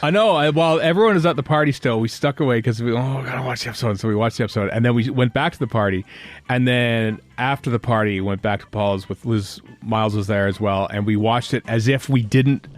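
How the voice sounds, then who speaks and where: A man's voice: mid-range voice, fast. A middle-aged man hosting a fan podcast in casual, chatty, rambling talk. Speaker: podcast host.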